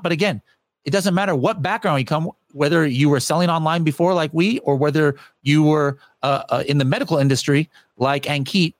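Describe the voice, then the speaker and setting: A man's voice: fairly high pitch, no echo. A man hosting a podcast in casual interview chat, rambling with many fillers and restarts. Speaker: podcast host.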